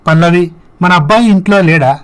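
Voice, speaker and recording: A man voicing a gentle, grateful husband and father in a scripted story, with a sincere manner. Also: high-pitched, normal speed, lightly acted. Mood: appreciative, sober.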